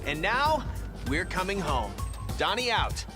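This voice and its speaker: A nerdy teenage turtle tech whiz in an animated video game. The voice is earnest and fairly high, with lively swings over loud music. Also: male voice, measured pace. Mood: resolute.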